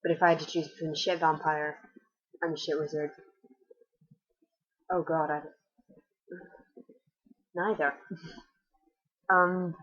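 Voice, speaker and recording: Low-voiced Fermanagh girl on a dull webcam. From Northern Ireland. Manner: chatty, blunt and wry. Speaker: vlogger. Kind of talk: casual accent-tag video. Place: small bedroom indoors. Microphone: webcam at desk.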